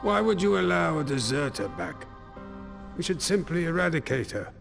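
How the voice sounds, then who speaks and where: Harsh game villain, merciless, extremely creaky, swinging pitch. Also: male voice, very animated, moderate pace, music in the background. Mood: scornful. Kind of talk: video game voice acting.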